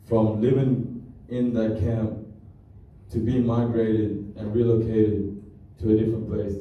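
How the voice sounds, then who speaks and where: A young man born in Kenya and raised in Australia tells his family's refugee story as a halting, plainspoken presentation. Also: lowish voice, mellow. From born in Kenya to South Sudanese parents, raised in Australia. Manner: halting, restarts often, plainspoken. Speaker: student presenter. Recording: very echoey hall from far off.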